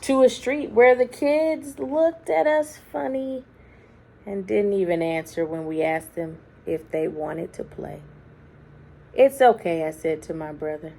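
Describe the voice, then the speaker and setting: Woman, children's read-aloud storyteller, very unhurried, crackly vocal fry, dramatic phrasing, hushed drawn-out words. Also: medium pitch. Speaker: children's book reader. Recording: faint background noise.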